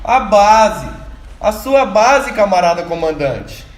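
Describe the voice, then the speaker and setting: A man's voice: sits high, big pitch swings, slow pace, heavily acted. A man playing an exhausted, alarmed guerrilla fighter reporting in short phrases in an amateur radio drama. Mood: panicked. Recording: echoey room with faint noise.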